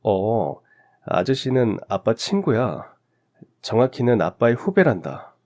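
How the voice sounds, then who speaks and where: A man playing a friendly, gentle family friend in his thirties, speaking reassuringly in a radio story. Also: mid-range voice, sing-song, unhurried.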